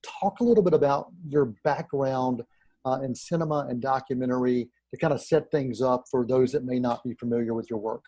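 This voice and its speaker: A man's voice: medium pitch, a little fast, dark tone, dry recording. A man hosting a movie show, introducing and questioning his guest in a friendly, hesitant way with many "uh"s. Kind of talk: hosting an interview.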